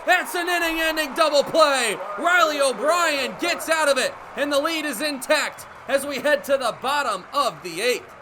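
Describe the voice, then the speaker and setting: A young American man calling baseball play-by-play for radio, with energetic, vivid running commentary. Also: extremely high pitch, moderate pace, few pauses, crowd noise. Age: young adult. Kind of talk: live radio sports commentary. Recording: live broadcast with ballpark background noise. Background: stadium ambience.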